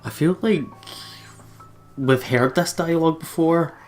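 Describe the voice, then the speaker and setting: A woman's voice: deep voice, measured pace, music in the background. A woman giving casual, reactive commentary during a video game let's play. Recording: faint background noise and ambience.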